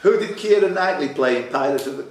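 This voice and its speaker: Quiz master in an echoey room. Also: male voice, on the high side, lively intonation, fast, darkish tone, soft background music. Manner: bantering, teasing contestants. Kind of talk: live pub quiz hosting, reading questions. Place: indoor club room. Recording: echoey room, clear background noise.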